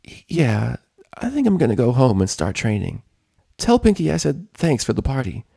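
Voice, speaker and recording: Guy doing a tired sick tomboy pony, proud, rough labored voice, kinda hesitant, cartoon story. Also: medium pitch, huge pitch swings, moderate pace, mellow, no echo, studio quality, pitched above the performer's own voice, full character voice. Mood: sickly, evasive. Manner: hesitant, weary. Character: tired, ailing, proud young female athlete pony.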